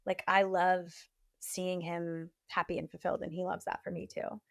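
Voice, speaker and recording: A woman's voice: fairly low pitch, level tone, normal speed, gravelly. A woman hosting a podcast, chatting frankly and casually and giving relationship advice with many "like" fillers. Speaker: podcast host.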